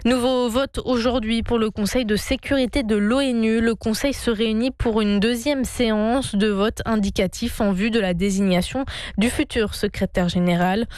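A woman reading a radio news bulletin in a brisk, measured newsreader manner. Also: fairly high pitch, fairly even intonation, a little mellow, no room sound. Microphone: studio microphone.

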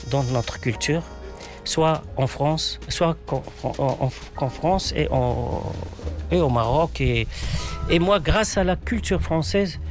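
A man from Tangier, Morocco, whose first language is Arabic, answering interview questions in French with many fillers and restarts. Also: a little high. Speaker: interview guest, writer.

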